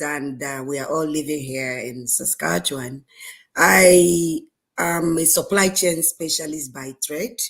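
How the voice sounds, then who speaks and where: A middle-aged woman from Nigeria introducing herself on a live online panel, upbeat and formal. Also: sits low. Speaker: guest panelist.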